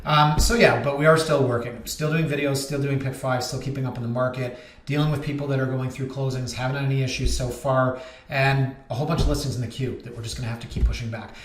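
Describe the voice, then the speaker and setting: Brief: realtor in his thirties, chatty and self-mocking, mid pitch, recorded in a roomy space. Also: fairly even intonation, quick pace, a little hiss or hum. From Canada. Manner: chatty, joking, self-deprecating. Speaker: realtor and vlog host. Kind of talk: casual rambling talk to camera. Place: office.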